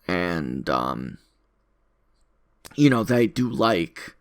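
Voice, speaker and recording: A man's voice: sits low, sing-song, extremely slow. A man talking casually in an unscripted audio log, rambling in a self-deprecating way and repeating his phrases. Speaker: content creator recording an audio log.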